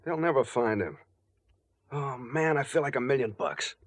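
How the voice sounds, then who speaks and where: A man's voice: medium pitch, lively intonation, moderate pace, no echo, lightly acted. Man playing a cocky crook, dry close sound. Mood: cocky, elated. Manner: breezy, slangy, self-assured. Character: cocky carefree male crook, slangy sweetheart talk. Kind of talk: 1940s radio drama acting.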